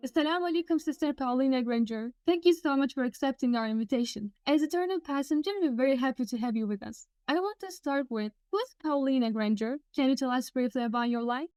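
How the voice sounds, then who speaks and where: High, quick-talking woman interviewer. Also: a little mellow, clear, resonant tone, dry recording, studio quality. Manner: polite, formal. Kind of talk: scripted interview questions.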